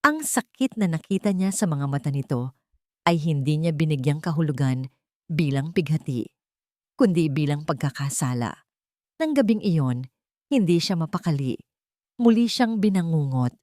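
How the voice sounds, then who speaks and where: A woman reading a dramatic story as narrator, in a steady, measured storytelling delivery. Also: deep voice, dry recording, clean studio sound.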